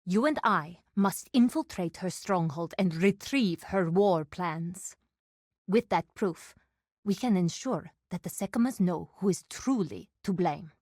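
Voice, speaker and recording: Stern desert warrior woman, low-voiced, formal and measured, dry studio game lines. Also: animated, a little mellow, dry recording. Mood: resolute. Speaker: game character.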